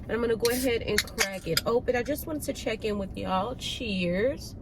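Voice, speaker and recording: A woman's voice: on the high side, moderate pace. A woman with a nasal, stuffed-up voice from a cold, chatting informally and slangily to viewers as a vlog host. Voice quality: nasal, congested. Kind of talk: casual chat to viewers. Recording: noisy car ambience.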